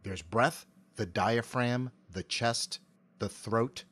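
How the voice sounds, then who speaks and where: A middle-aged man giving articulate, measured explanations as a voice actor being interviewed. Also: mid-range voice, fairly bright tone, husky.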